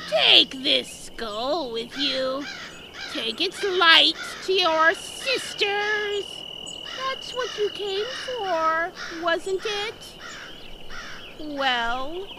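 A woman playing a fierce, menacing old witch in a fairy-tale audio drama, with theatrical, drawn-out delivery. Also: very high voice, background noise, heavily acted. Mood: grudging, commanding. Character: fierce cackling old witch Baba Yaga who eats people.

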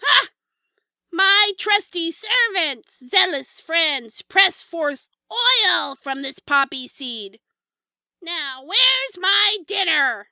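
A woman's voice: extremely high pitch, big pitch swings, pushed and bright, no echo, full character voice. A woman playing a menacing, spiteful old witch in a fairy-tale audio drama, domineering and theatrical. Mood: demanding, snappish. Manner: theatrical, drawn-out, domineering delivery.

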